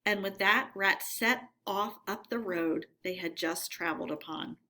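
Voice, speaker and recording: A woman narrating a storybook read-aloud in an expressive, measured, teacherly way. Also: mid-range voice.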